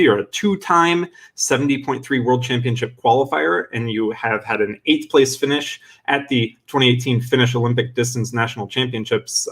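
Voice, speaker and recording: A man's voice: medium pitch, a bit bright. Upbeat young man hosting a webinar. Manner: enthusiastic, filler-heavy, restarts often. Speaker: webinar host. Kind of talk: live-streamed interview.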